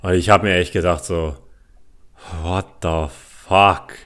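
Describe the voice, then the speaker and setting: A man's voice: low voice, fairly even intonation, takes it slowly, fairly bright tone, clear, resonant tone. A young man chatting as a podcast co-host, telling stories and ranting in colloquial, exasperated language with some swearing. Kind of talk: casual chat, anecdotes and ranting.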